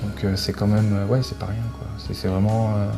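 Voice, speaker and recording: A man giving a casual interview as a guest, speaking in a hesitant, halting way and often restarting his phrases. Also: on the low side, flat delivery, music in the background. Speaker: video game translator, interview guest. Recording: clearly noisy background ambience.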